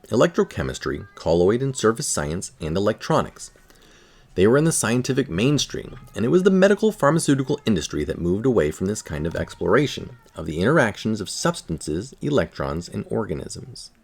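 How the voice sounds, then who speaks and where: A man reading a scientific article aloud in a careful, measured manner. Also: lowish voice, vocal fry. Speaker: article reader.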